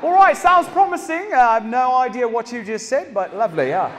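A South African comedian in his thirties, talking in a high and very lively voice. He acts out characters into a handheld mic. A long theatre reverb and a faint crowd sit around him. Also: a little fast, a little hiss or hum. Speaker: stand-up comedian. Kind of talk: stand-up comedy. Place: large theatre stage. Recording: live hall with audience.

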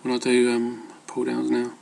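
Flat, gravelly man rambling casually. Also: medium pitch, normal speed, slight background noise. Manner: hesitant, loosely worded. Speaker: amateur fitness vlogger. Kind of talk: casual rambling advice talk.

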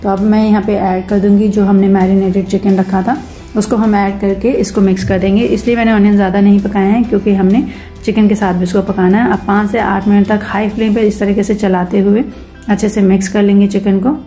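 A woman narrates her home cooking video step by step, switching easily between plain talk and English kitchen words. Her voice is mellow and keeps to a narrow, even melody. Light music runs faintly underneath. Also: mid-range voice, a little fast. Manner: step-by-step instructive, mixing English cooking terms into Hindi. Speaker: cooking channel host. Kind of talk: casual recipe voice-over.

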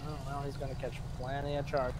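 A man's voice: medium pitch, little pitch movement, fairly quick, noisy background. A blunt, sweary man playing a police officer in a casual online game role-play.

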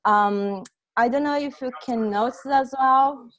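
A woman's voice: sits high, measured pace. A young Brazilian woman with a Brazilian accent giving an informal online presentation in a conversational, hesitant way over a headset. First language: Portuguese. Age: young adult. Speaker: presenter, software testing specialist. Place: small room at home, indoors. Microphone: earphone headset on video call.

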